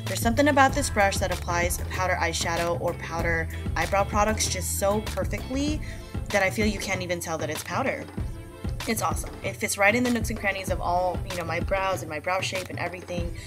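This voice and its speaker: A woman's voice: fairly low pitch, brisk, music in the background. A young woman, stuffy-nosed from a cold, talking through a casual makeup tutorial in a chatty, slangy way with plenty of fillers and asides. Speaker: beauty vlogger and makeup artist.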